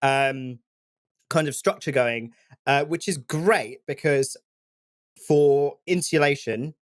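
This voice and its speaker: A man's voice: medium pitch, slow, no room sound, clean studio sound. A man co-hosting a podcast, telling stories casually with a slightly scratchy throat from a cold. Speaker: podcast co-host.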